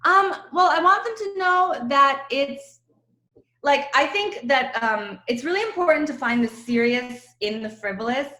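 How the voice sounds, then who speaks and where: A woman's voice: sits high, animated, unhurried, bright, forceful tone, roomy sound. A woman in her thirties from Croatia, an author chatting in an interview with a self-mocking, laughing manner. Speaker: author guest. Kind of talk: casual interview chat.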